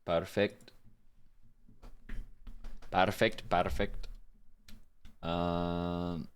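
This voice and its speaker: A man chatting casually on a live stream as a voice actor, low-key and thinking aloud. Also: flat delivery, very slow, smooth.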